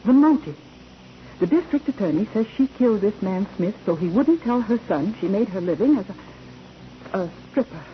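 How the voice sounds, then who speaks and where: A woman's voice: medium pitch, lively intonation, normal speed, close to an everyday voice. An actress playing an earnest, well-bred officer's wife in a 1940s radio drama, formal and emphatic as she pleads. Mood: urgent pleading. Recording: muffled, faintly noisy old radio recording.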